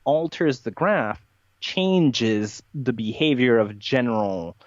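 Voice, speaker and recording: A man's voice: medium pitch, takes it slowly. A man in a conversational podcast discussion, explaining his views in an opinionated, emphatic way. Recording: dull, roomy, echoey podcast audio.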